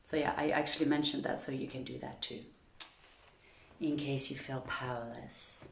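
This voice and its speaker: A woman's voice: a bass voice, steady tone, normal speed, vocal fry, roomy sound, a little hiss or hum. A woman hosting an online story reading, speaking to listeners and reading literary tales aloud. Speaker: reader of stories to an online audience.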